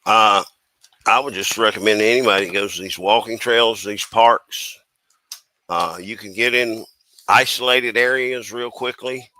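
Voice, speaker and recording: A man from the US East Coast chatting casually as a stream panelist, giving his opinions in a halting, folksy way. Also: lowish voice, pushed and bright, no room sound, low-quality recording. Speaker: panelist on a crime chat stream.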